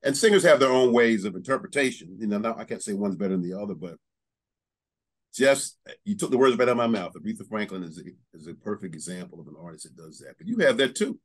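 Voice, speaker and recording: A man's voice: lowish voice, very animated, quick pace. A middle-aged man hosting a casual interview in a small studio, chatty and enthusiastic, speaking into a studio mic. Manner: chatty, enthusiastic, many "you know"s. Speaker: show host. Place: studio with banner backdrop. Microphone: studio mic on stand.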